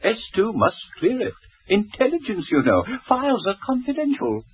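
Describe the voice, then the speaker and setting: Stuffy high-voiced official, tinny recording. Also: male voice, wildly swooping pitch, normal speed, husky, full character voice. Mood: aloof, dismissive. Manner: pompous, stiff. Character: stuffy, self-important male British official. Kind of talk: 1940s radio drama acting. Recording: noisy, tinny old radio recording.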